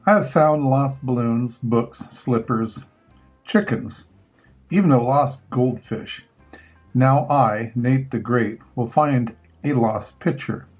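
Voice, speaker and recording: Slow-reading grandfatherly man, slightly husky. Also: medium pitch, a little mellow, soft background music. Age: older. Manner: measured, pausing between short phrases. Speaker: grandfather reading picture books aloud. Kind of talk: children's storybook read-aloud.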